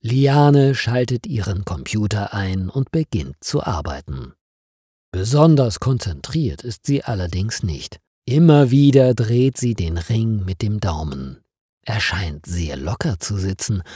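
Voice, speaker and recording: A man reads a story for language learners at an unhurried pace. His voice is mellow and a little husky, and he shapes each sentence deliberately and expressively. The recording is close and dry. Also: fairly low pitch, no room sound. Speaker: narrator of stories for language learners. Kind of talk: slow, clearly paced scripted story narration.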